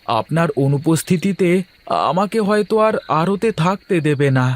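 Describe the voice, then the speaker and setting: A man's voice: on the high side, expressive, normal speed, natural voice. A man voicing a humble, grateful and eager younger employee in a cartoon. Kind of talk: Bengali cartoon dialogue.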